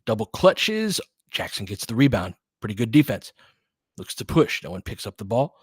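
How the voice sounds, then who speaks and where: A man's voice: lowish voice, big pitch swings, moderate pace, breathy edge, no room sound, clean studio sound. A man giving informal live basketball play-by-play with bits of analysis, in clipped, slangy, conversational phrases as a partisan fan. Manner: partisan fan of one team.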